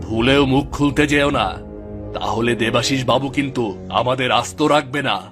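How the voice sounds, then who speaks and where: A wary village husband, acted by a man in a cartoon drama. His delivery is firm and cautioning, with a scornful edge. Music plays clearly behind him. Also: mid-range voice, fairly even intonation, moderate pace, natural voice. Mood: scornful, warning. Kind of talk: dramatized Bengali cartoon story dialogue.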